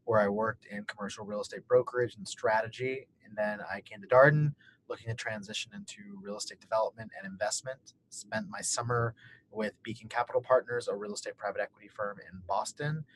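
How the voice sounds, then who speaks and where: A man's voice: fairly low pitch, a little fast, a little hiss or hum, poor audio. A young man from Kentucky, an MBA student on a webinar panel, telling his story in an upbeat, chatty way and laughing now and then. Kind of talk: casual webinar chat.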